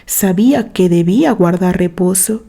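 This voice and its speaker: A woman reading a literary story aloud in a dramatic, expressive way, with measured phrases and pauses. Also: on the low side, creaky. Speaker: narrator reading a written story.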